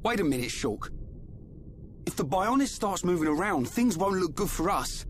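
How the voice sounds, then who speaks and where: An actor playing a blunt, grumbling young fighter, the hero's sidekick, in a video game. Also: male voice, high-pitched, very animated, moderate pace, slight background noise. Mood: wary. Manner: blunt, colloquial, complaining. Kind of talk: video game cutscene acting.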